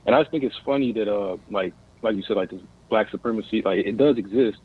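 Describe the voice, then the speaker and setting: A man's voice: fairly low pitch, fast, breathy edge. An American man calling in to a casual discussion, speaking hesitantly and searching for his words over a phone-like connection. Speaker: caller. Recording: tinny phone-like line.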